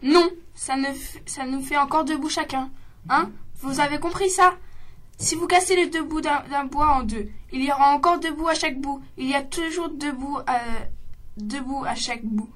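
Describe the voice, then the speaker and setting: A woman's voice: sits high, moderate pace, lightly acted. A woman playing a pedantic, exasperated stage artist reciting a comic monologue for radio.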